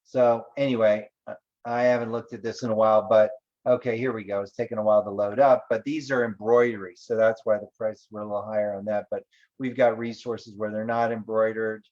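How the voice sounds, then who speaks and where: A man's voice: fairly low pitch, little pitch movement, a little fast, a little mellow, muffled recording. A man hosting an online business webinar, talking casually with many fillers and loose asides. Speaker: webinar host.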